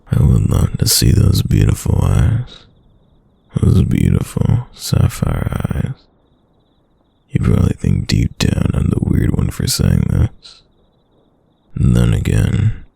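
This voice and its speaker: Man playing a very deep, gravelly, murmuring boyfriend. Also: dark tone, no room sound, heavily acted. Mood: teasing affection. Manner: tender, musing, murmured. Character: devoted soft-spoken boyfriend whispering to his sleeping lover. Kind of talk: boyfriend roleplay ASMR, late-night intimate talk.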